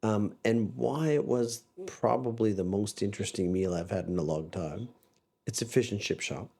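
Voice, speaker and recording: Low-voiced man with a Canadian-Aussie accent. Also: moderate pace, gravelly, darkish tone, slightly rough. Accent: Canadian and Australian mix. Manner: chatty, rambling, restarts often. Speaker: podcast guest. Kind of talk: casual interview chat.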